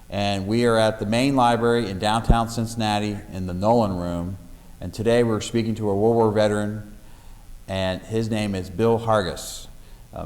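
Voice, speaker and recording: A male interviewer with a fairly low voice asks friendly, prompting questions. He leans on small fillers as he shapes each question. The sound has a long reverb from a library room. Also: darkish tone. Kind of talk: oral history interview.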